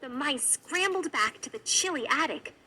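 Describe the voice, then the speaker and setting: A woman reading a children's read-along story in an expressive narrating style. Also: high-pitched, normal speed. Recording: music behind the voice.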